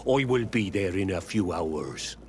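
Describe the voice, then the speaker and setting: A proud island chief speaks low and very slowly, gruff and full of disdain for outsiders. It is video game dialogue with outdoor-style ambient noise behind it. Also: male voice, lively intonation. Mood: grudging. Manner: gruff, slow, deliberate, disdainful.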